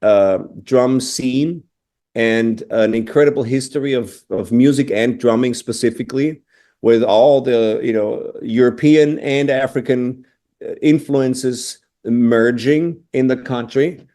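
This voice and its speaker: Casting: middle-aged man from austria, warm dark tone, reflective guest, lots of "you know". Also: mid-range voice, measured pace. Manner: thoughtful, restarts phrases, frequent "you know". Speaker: interview guest, drummer. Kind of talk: casual interview answers.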